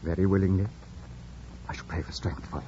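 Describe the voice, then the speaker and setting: A man's voice: sits low, fairly even intonation, a little fast, natural voice. A man playing a zealous, stern young minister, speaking in a solemn, insistent, formal way in an old radio drama. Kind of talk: vintage radio drama acting. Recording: dull vintage radio broadcast.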